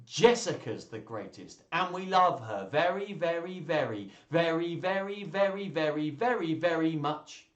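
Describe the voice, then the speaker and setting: Man reading as proud storybook relatives, expressive and echoey. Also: on the high side, measured pace, hardly any pauses, dull audio, voice pushed up. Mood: proud, adoring. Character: storybook family members proudly praising a loved one. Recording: roomy, echoey live stream sound.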